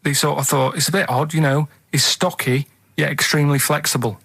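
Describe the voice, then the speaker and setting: A man's voice: on the high side, fairly even intonation, a little fast, creaky, a bit bright, breathy edge, no echo. A man with a Mancunian accent, chatting on a radio show in a hesitant way, full of ums and loose asides. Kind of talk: rambling radio chat. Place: radio studio. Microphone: studio microphone. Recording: dry studio sound.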